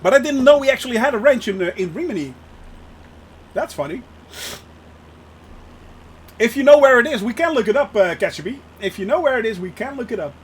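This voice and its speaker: Dutch-accented man, high voice, chatty streamer, laughs a lot, faint background noise. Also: expressive, brisk, long pauses. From Netherlands. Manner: chatty, laughs often, replies to chat. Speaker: flight sim streamer. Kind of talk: casual live-stream commentary.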